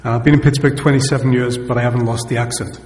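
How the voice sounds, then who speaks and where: A man's voice: medium pitch, fairly even intonation, fast talker, a little mellow. A middle-aged Welsh man with a Welsh accent, opening his panel talk in a conference hall with wry, self-deprecating remarks. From Wales. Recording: echoey hall with long reverb.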